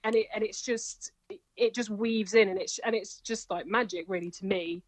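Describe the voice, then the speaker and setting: A woman's voice: medium pitch, no room sound. A woman, a singer and comedian, chatting enthusiastically as an interview guest. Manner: enthusiastic, gushing, quick to laugh. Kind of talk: casual interview chat.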